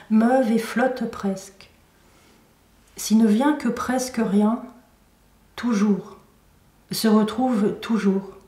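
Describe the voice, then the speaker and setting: Slow, echoing woman reciting poetry. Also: on the high side, mellow. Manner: measured, deliberate phrasing with pauses. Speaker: poet reading own work. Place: indoor room at a poetry venue. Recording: roomy echo, recorded from a distance.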